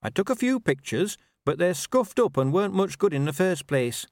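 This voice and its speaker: A man voicing an earnest young female dwarf watch officer who reports in a brisk, eager way. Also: fairly low pitch, very animated, a little mellow, dry recording, clean studio sound, pitched above the performer's own voice.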